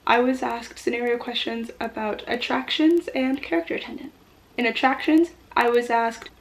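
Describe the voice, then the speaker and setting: A young woman giving casual, encouraging tips as a vlogger, in a reassuring way. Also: fairly high pitch. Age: young adult. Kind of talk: casual advice to camera.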